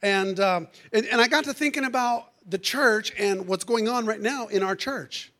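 A preacher man with a notably high voice delivers a fervent church sermon. His voice is going hoarse, and he peppers his lines with "amen" and "hallelujah" while calling out to the congregation. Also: normal speed, sharp tone. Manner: calls out to the congregation. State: losing his voice. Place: church sanctuary.

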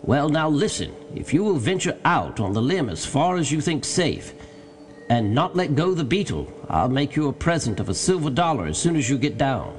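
A man voicing a domineering, excitable gentleman in an audiobook story, giving orders with urgent exclamations. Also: on the high side, moderate pace, a little hiss or hum. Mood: exasperated. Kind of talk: dramatized audiobook character.

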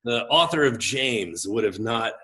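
Middle-aged man, a pastor, chatty interview guest, big expressive gregarious voice. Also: medium pitch, a little slow. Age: 40s. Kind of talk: casual conversational interview.

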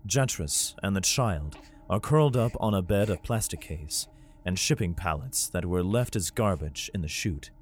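Low-voiced grim male narrator. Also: animated, slight background noise. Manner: measured, grim inner narration. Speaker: narrator and lead, a father. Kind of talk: first-person audio drama narration. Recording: faint music behind.

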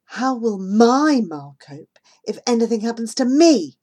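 A woman's voice: on the high side, very animated, a little slow, darkish tone, voice pushed up. A woman voicing a guilty, worried young boy who is torn about leaving his friend. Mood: pleading. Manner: hesitant, apologetic.

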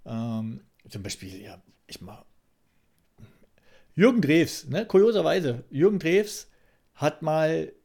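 A man co-hosting a podcast in casual banter, often haltingly repeating the openings of his phrases. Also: on the high side, sing-song, vocal fry, a little mellow. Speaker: podcast co-host.

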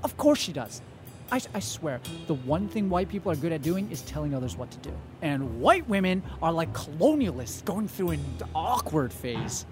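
A defensive young male roommate in a comedic audio drama. His delivery swings around with lively pitch, sarcastic and full of excuses, over faint music. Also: normal speed. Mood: wry, self-assured. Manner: evasive, sarcastic, self-justifying.

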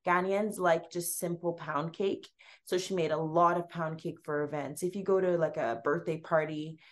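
Chatty Canadian woman, lowish voice. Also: steady tone, normal speed. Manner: chatty, rambling, with frequent ums and laughs. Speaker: food blogger guest. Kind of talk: casual interview chat.

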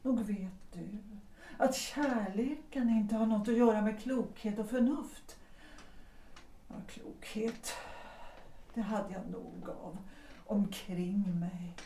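A woman playing an unhappy, lovestruck married woman in a period audio drama, speaking earnestly and deliberately. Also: medium pitch, mellow, distant mic, slight background noise, lightly acted. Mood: melancholy, resolute. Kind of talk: period stage-play audio drama acting. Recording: roomy echo, away from mic.

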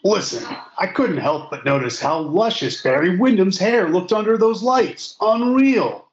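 A man playing an earnest, needling wrestling fan phoning a call-in show in a comedic audio play, rambling and halting. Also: high voice, lively intonation. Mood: gushing. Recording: roomy, dull caller audio.

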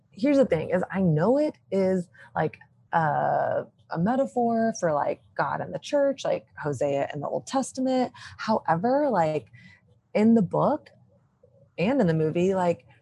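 A woman in her thirties chatting as a podcast guest, talking in animated bursts full of "like" and quick laughs, with a loud, opinionated way of speaking. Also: lowish voice, creaky, breathy edge, no echo. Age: 30s. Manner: says she has a loud voice, opinionated. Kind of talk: casual chat.

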